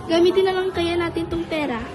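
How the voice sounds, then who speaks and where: Woman as very high-voiced little beggar girl. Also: a little fast, over music. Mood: curious. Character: little beggar girl, polite younger sister. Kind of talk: amateur radio drama acting.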